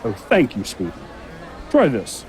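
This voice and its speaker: An actor playing a friendly, chatty orange seller with a warm, teasing manner in a fantasy audio drama, a street crowd faintly behind. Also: medium pitch, huge pitch swings, a little slow, slight background noise. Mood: cheerful.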